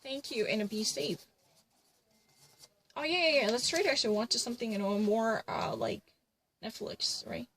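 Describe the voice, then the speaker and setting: A woman's voice: on the high side, animated, normal speed, long pauses, a bit bright, a little hiss or hum. A woman ranting to camera in an indignant, repetitive way, filling her sentences with "actually" and "you know". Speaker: vlogger.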